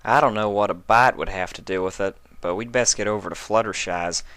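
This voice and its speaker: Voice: sits low, a little fast, fairly bright tone, clean tone, dry recording. A performer voicing a plain-spoken, teasing female cartoon farm pony with folksy, forthright country talk. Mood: concerned, firm.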